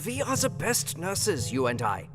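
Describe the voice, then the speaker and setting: A man's voice: fairly high pitch, huge pitch swings. Man acting commanding foreign professor in cavernous reverb. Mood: grave, commanding. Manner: quaint, formal, oddly phrased English with deliberate delivery. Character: earnest, commanding foreign professor-doctor, elder mentor. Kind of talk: dramatised audio reading of a Victorian gothic novel. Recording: long hall-like reverb, music underneath.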